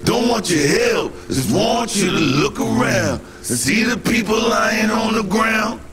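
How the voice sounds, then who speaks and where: A man's voice: high-pitched, big pitch swings, a little slow, a bit bright, soft background music, heavily acted. A man playing a defiant anti-establishment street singer in a game, delivering rhymed protest in a sing-song, declamatory way. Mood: grudging. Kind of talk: sung, rhyming protest speech in a game.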